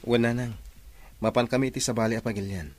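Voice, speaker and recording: A man's voice: medium pitch, fairly even intonation, fast talker, natural voice. A man playing an earnest, reassuring young seaman in a radio drama, with an Ilocano Filipino accent. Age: young adult. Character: earnest young seaman, devoted son and suitor.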